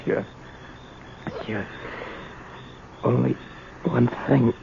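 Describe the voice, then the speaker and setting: An actor playing a rough, remorseful dying father in a 1970s radio drama, speaking halting, slangy tough-guy talk. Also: medium pitch, steady tone, heavily acted. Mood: weak, fading. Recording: dull, noisy 1970s radio recording.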